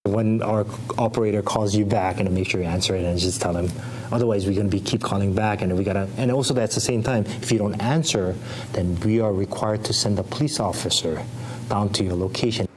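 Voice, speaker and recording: A man giving an unscripted interview as an official, explaining plainly in halting, loosely built sentences. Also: lowish voice, darkish tone, a little hiss or hum. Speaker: 911 call center official being interviewed.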